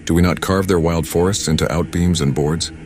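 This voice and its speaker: An actor playing a stern zealot in a video game, reciting scripture in a solemn, oratorical manner. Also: male voice, deep voice, fairly even intonation, brisk, a little mellow, close to an everyday voice. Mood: solemn, portentous. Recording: music behind voice.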